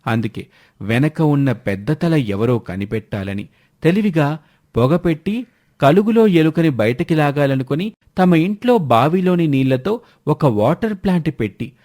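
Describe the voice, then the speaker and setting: A man's voice: on the high side, expressive, moderate pace, warm tone. A man narrating a scripted moral family story as the storyteller.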